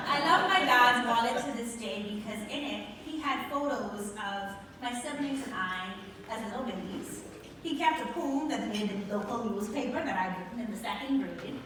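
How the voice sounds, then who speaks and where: A woman with a Bahamian accent and a fairly high voice delivers a motivational speech from a stage. She speaks emphatically, drawing out key words. The sound is very echoey and a little distant, with clear background noise. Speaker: motivational speaker and show host. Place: large venue on stage with live audience.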